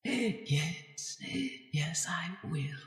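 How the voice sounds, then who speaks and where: A woman's voice: low voice, lively intonation, extremely slow, heavily acted. An actress playing a menacing, bloodthirsty undead female ghost in a radio horror drama, theatrical and commanding, often dropping into whispers. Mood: urging, taunting. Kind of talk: old-time radio horror drama acting. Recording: very echoey reverberant hall, music underneath.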